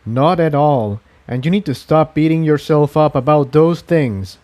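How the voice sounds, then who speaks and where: Guy doing a weary engineer pony from a fantasy audiobook, earnest and confiding, voice a bit high. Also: measured pace, a little mellow, close to an everyday voice. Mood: reassuring. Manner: reflective, confiding, emphatic.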